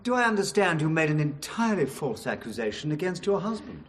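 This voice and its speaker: An actor playing an indignant, pompous English judge, formal and declamatory, in a British radio drama. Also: male voice, fairly high pitch, sing-song, a little fast, slight background noise. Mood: stern, reproving.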